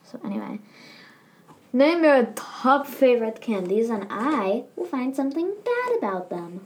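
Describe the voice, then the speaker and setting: A young woman with a slightly raised pitch, co-hosting a casual chat. She jokes and questions with quick comebacks. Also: sing-song, a little hiss or hum. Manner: joking, quizzical, quick with comebacks. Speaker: podcast co-host.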